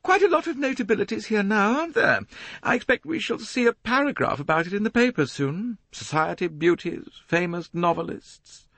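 A man voicing a pompous, gushing older woman novelist in a high, complaining voice. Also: expressive, moderate pace, a bit bright, dry recording, heavily acted. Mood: grandiose. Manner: theatrical, self-important, emphatic. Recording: dry, close audiobook recording.

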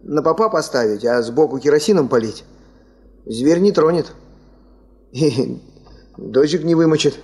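An actor playing an experienced, good-natured male field hand in a 1970s radio drama, folksy and unhurried. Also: fairly high pitch, lively intonation, darkish tone. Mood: good-natured, practical.

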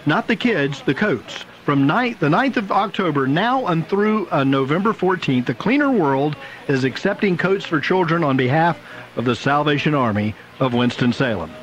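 A man's voice: fairly high pitch, animated, normal speed. A male radio DJ with jokey, folksy patter between oldies records. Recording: muffled radio aircheck with loud music. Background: loud music.

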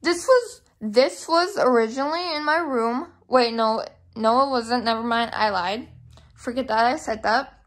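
Slow, halting young woman vlogger. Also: a little high. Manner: hesitant, halting, thinking aloud. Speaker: vlogger giving a house tour. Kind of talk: casual rambling home-tour chat to camera. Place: rooms of a home, indoors. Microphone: handheld camera or phone at arm's length.

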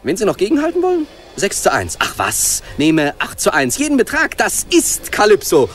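Brash male special reporter for radio drama: excitable, clipped phrasing, muttered asides, very lively pitch. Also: normal speed, full character voice. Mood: excited, awed. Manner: clipped telegram-style commentary, muttered asides to himself. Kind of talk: radio play acting, live reportage.

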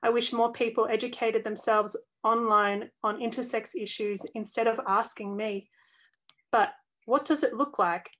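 A young woman earnestly reading a first-person essay aloud for a youth publication, with a steady delivery and small stumbles along the way. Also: fairly high pitch, normal speed. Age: young adult.

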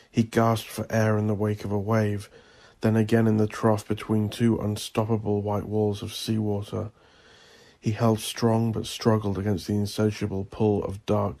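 Husky low-voiced guy reading a horror audiobook, intense and theatrical, pitch swings all over. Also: dark tone. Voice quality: gravelly, husky. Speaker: audiobook narrator. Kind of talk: dramatic scripted horror narration.

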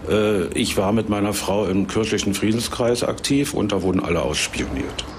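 A mature man recalling his past spontaneously and haltingly as an interviewee. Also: fairly low pitch. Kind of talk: spontaneous recollection. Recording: noisy location sound.

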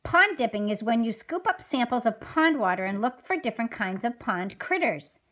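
A woman's voice: a little high, animated, moderate pace, a bit bright. A woman voicing an eager, friendly fifth-grade schoolgirl in a scripted children's puppet show, explaining things enthusiastically. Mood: helpful.

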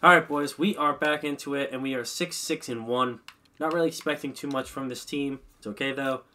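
A man's voice: mid-range voice, a little fast, bright, forceful tone, a little hiss or hum. A young man slightly congested, rambling casually and self-mockingly while commentating a hockey management game at his desk mic. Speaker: gaming commentator.

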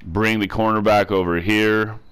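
A man's voice: lowish voice, steady tone, a little slow, fairly bright tone, clear, resonant tone. A man with a cold and a stuffed-up nose, talking through a video game defense step by step in a casual voice-over, often repeating and restarting himself. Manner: repetitive step-by-step instruction with frequent restarts. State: congested from a cold. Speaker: gaming tutorial creator.

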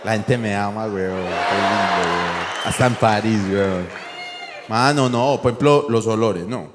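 A man's voice: lowish voice, measured pace, over a noisy crowd. A man with a Medellín accent doing live comedy, telling slangy, profane anecdotes and acting out the dialogue. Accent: Colombian, from Medellín. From Medellín, Colombia. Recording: roomy live venue, faint background noise.

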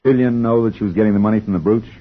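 A man's voice: fairly low pitch, steady tone, racing, husky. An actor playing a wry, hard-boiled male private detective who narrates in a dry, laconic way, in a 1950s radio drama. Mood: sardonic. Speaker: narrator and lead. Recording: dull lo-fi 1950s radio broadcast with music.

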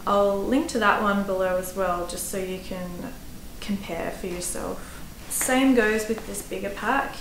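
An Australian woman in her thirties giving casual, practical hiking-gear advice to camera, chatty with fillers, recorded in a small room. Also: medium pitch. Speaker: hiking vlogger. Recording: roomy echo, faint background noise.

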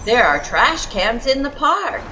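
Slow, very lively woman reading a storybook. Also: a little high, background noise. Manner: expressive, exaggerated emphasis with drawn-out refrains. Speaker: children's read-aloud storyteller. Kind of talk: picture-book read-aloud for young children. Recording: music behind the voice. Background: background music.

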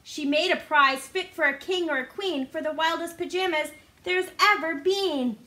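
A woman reading a storybook aloud to young children in an animated, sing-song way, often putting questions to the listeners. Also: extremely high pitch, moderate pace. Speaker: children's read-aloud presenter. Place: living room indoors. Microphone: device camera a short distance away.

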